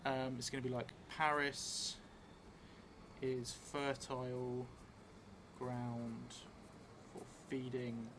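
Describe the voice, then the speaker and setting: A middle-aged man thinking aloud as he narrates a solo tabletop role-playing game, halting between phrases. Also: mid-range voice, heavy background noise. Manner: halting, pausing between phrases while writing. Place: indoor room, seated at a table. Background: music.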